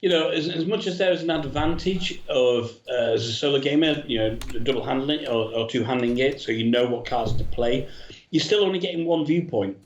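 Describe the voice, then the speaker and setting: A man's voice: mid-range voice, brisk. Man on a dull, roomy call line. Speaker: guest. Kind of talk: casual chat.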